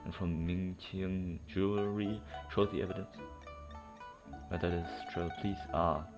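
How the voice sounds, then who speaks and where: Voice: low-pitched, fairly even intonation, unhurried. A game streamer giving casual commentary while playing, muttering asides and thinking aloud. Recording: game music behind. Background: video game soundtrack and dialogue.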